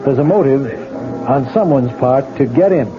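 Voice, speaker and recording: An actor playing a patient, skeptical investigator in a 1970s radio drama, asking calm, probing questions. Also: male voice, medium pitch, normal speed, close to an everyday voice. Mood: explaining. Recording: tinny old radio broadcast with music.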